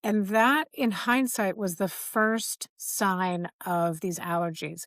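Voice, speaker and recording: A woman's voice: mid-range voice, slow, fairly bright tone, no room sound, studio quality. A middle-aged woman hosting a podcast, candidly telling a personal story in casual conversation and acting out quoted dialogue. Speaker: podcast host.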